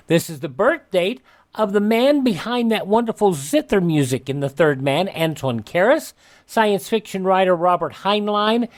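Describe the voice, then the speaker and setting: An American man hosting a radio program, reading scripted narration with brief off-the-cuff asides. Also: a little high. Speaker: radio show host.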